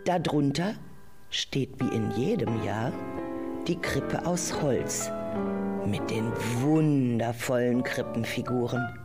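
A woman narrating a children's audio play as an expressive storyteller, with exclamations and questions put to the listener. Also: very deep voice, slow. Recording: loud music behind the voice. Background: music.

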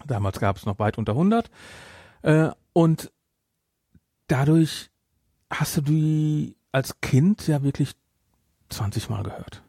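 A man's voice: a little high, lively intonation, slow, vocal fry, pauses often, warm tone, no echo, studio quality. A middle-aged man chatting casually as a podcast host, rambling and repeating words for emphasis.

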